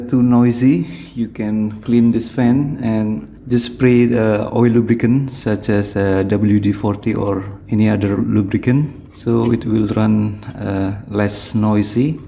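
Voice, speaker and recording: A man with an Indonesian accent giving a hands-on repair tutorial in halting, simple phrases. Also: lowish voice, gravelly, mellow, slight background noise. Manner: halting, short phrases in simple non-native English.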